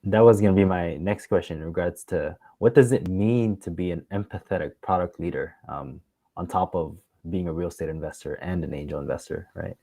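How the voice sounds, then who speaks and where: A man hosting a casual interview, putting his questions in halting, hesitant phrasing. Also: deep voice, vocal fry, mellow, no room sound. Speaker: interviewer, show host.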